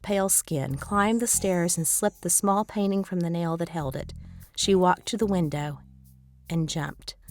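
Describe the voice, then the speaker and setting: A woman author reading her novel aloud as scripted audiobook narration, in a measured, deliberate storytelling delivery. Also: mid-range voice, darkish tone.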